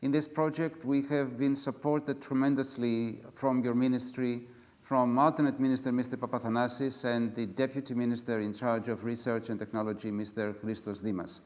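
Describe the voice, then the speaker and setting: A man with a medium-pitched voice acts as moderator. He speaks formally as he thanks and introduces speakers. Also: level tone, normal speed, darkish tone.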